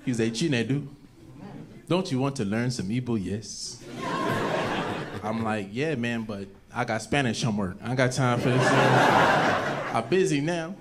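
A man's voice: medium pitch, unhurried, long pauses. A man with an American accent telling stand-up stories into a stage mic. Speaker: stand-up comedian. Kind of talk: stand-up storytelling. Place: indoor comedy club stage. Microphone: handheld stage mic. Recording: noisy live club recording, played back.

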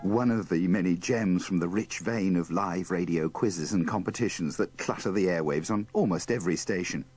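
Deep-voiced male compilation narrator. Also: moderate pace, few pauses, darkish tone, soft background music. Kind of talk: scripted linking narration.

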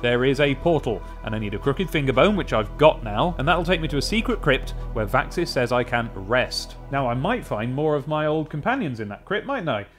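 A man's voice: medium pitch, sing-song, brisk, few pauses. A young British man giving casual, chatty gameplay commentary in a wry manner, thinking aloud close to a desk microphone. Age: young adult. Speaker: let's play host. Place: small room at a desk. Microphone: desk microphone with pop filter, close. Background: game music.

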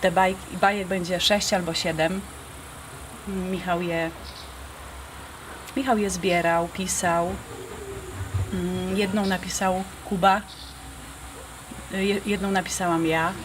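Casual woman interviewed amid clear background noise. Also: fairly low pitch, fairly even intonation, takes it slowly, pauses often. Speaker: voice performer and writer. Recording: noisy, insects and outdoor ambience.

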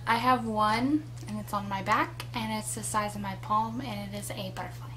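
Twenties girl cracking jokes on webcam, really bright voice, small room. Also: mid-range voice, fairly even intonation, moderate pace, slight background noise. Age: 20s. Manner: teasing, joking asides. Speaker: co-host. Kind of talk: casual chat. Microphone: webcam at desk distance.

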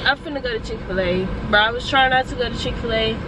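A woman's voice: on the high side, fast, recorded from a distance. A nineteen-year-old woman, stuffed up with a cold, chatting casually and full of slang as she vlogs from her car. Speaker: lifestyle vlogger. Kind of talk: casual vlog chat. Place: parked car. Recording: car camera with loud road noise.

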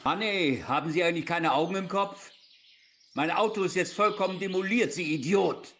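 A man's voice: high voice, moderate pace, a bit bright. An actor playing a shifty, irritable male schoolteacher in a radio play. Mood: angry. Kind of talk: hobby German radio play acting. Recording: faint noise with birdsong.

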